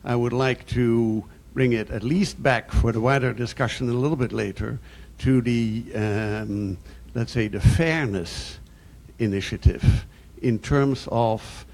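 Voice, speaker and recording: An older man with a Dutch accent and a mid-pitched voice addresses a conference. He is formal and measured, pausing haltingly between phrases as he frames his comment. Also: darkish tone. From Netherlands. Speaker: audience member, committee chairman.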